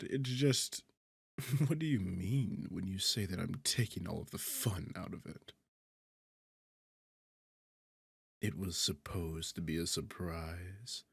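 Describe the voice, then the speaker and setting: A man voicing a smug, sarcastic demon king in an ASMR roleplay, with a husky, gravelly low voice and a mocking, theatrical delivery. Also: no room sound, clean studio sound, heavily acted. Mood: amused, teasing. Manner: mocking, teasing, condescending, theatrical. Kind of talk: ASMR roleplay audio drama.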